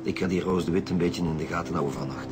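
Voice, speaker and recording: An actor playing a determined police detective in a radio drama, with a Flemish accent. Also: male voice, deep voice, fairly even intonation, fast, over music, close to an everyday voice. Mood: businesslike. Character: gruff, determined male police detective on surveillance.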